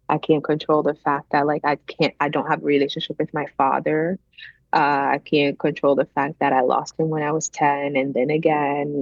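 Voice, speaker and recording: A woman's voice: deep voice, vocal fry, no room sound, highs cut off. A woman interview guest telling her personal story in a reflective, emphatic way, repeating phrases for weight. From Benin, later grew up in the United States.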